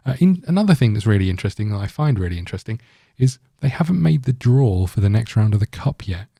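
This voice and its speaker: A man with a very dark, relaxed voice on the low side talks through a video game. He rambles casually, thinking aloud as he hosts. The sound is dry and close. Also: fast, gravelly, husky, no room sound. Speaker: gaming video host. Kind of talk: casual let's-play commentary.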